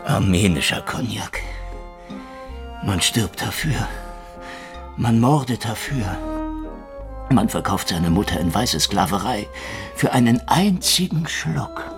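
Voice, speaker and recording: Deep, cynical hardboiled Soviet detective. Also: male voice, expressive, slow pace, pauses often, music in the background. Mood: savouring. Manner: sardonic, world-weary. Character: cynical, menacing Soviet secret policeman turned private detective. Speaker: lead actor and narrator. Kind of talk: hardboiled radio crime drama.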